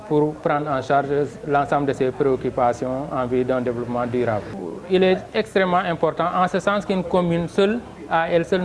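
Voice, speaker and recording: A man with a Senegalese accent giving a formal, measured interview statement as a local official, with halting phrasing. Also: fairly high pitch, a little mellow. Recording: clear background noise, on-location ambience.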